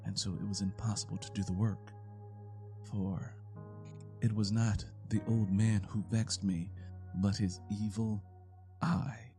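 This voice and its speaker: Man, theatrical horror narration, very slow, dark mellow tone, deliberate pauses, music bed. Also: lowish voice, little pitch movement. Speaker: narrator performing a horror story. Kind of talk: dramatic scripted narration in the first person.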